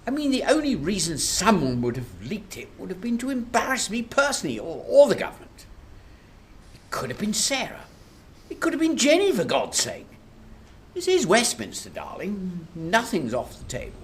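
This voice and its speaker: A woman acting an embattled, weary Prime Minister in a radio drama, expressive. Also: mid-range voice, moderate pace, a little hiss or hum. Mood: anxious, embattled.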